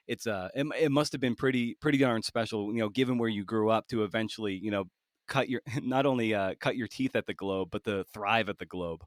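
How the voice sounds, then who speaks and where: A man with a fairly low voice, recorded dry and close, hosting a conversational interview. He speaks casually and haltingly, often restarting a phrase as he works out the thought. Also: no room sound. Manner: halting, repeats words while forming thoughts. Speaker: podcast host, interviewer.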